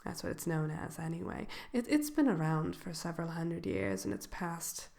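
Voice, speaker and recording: Wicked witch shopkeeper, acted by a woman, low pitch, soft ASMR delivery, genteel, slyly malicious, unhurried and hesitant. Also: very animated, vocal fry, heavily acted. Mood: helpful, musing. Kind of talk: ASMR roleplay, soft-spoken and partly whispered.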